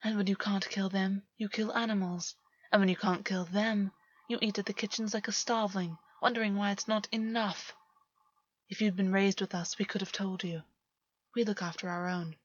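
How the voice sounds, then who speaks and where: A cruel, predatory fae man as a woman narrator plays him taunts his prey softly and deliberately in a dark fantasy story. The sound is very dry and slightly dull. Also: mid-range voice, flat delivery, husky, no room sound, natural voice. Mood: quietly taunting.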